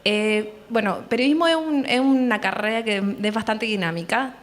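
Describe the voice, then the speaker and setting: A woman's voice: a little high, moderate pace. Hesitant young woman interviewing a guest. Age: young adult. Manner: hesitant, many filler sounds. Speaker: student interviewer. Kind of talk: conversational interview.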